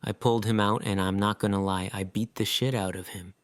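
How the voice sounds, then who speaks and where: A man acting an earnest, guilt-ridden survivor in an audio drama, with halting, emotional delivery. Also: on the low side, steady tone, clean tone, dry recording, natural voice. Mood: subdued.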